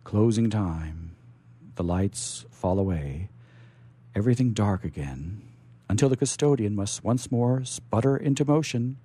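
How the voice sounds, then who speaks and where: A man's voice: fairly low pitch, lively intonation, unhurried, warm tone, breathy edge. A man hosting a radio storytelling show in a theatrical, playful, effusive showman's manner.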